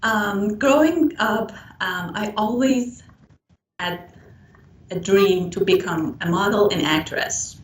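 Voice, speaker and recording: A woman from Iran with a Persian accent and Persian as her first language, answering interview questions in a halting way with frequent pauses. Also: medium pitch. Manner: halting, pausing often between phrases. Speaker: interviewed model. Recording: roomy, distant mic with background noise.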